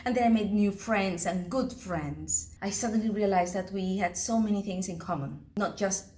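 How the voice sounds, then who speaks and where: A woman's voice: mid-range voice, normal speed, darkish tone, a little hiss or hum. Brief: friendly woman interviewee, conversational, echoey space. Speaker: club member. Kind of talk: documentary interview.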